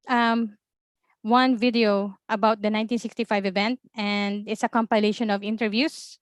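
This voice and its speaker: A Filipino woman with a Filipino accent, presenting in a webinar as an observatory information officer in a measured way, with many ums and uhs. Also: medium pitch, dry recording. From Philippines.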